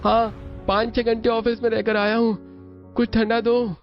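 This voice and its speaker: A man's voice: very high-pitched, flat delivery, normal speed, muffled recording. A man playing a demanding man of the household in a cartoon family drama. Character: men of the household asking for refreshment. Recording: band-limited audio with music behind.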